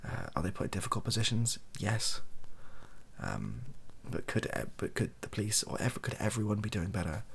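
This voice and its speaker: A British man speaking softly in a rambling, hesitant, improvised opinion monologue for an online video. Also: fairly low pitch, big pitch swings, moderate pace, creaky, slightly rough. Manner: soft-spoken, hesitant and rambling.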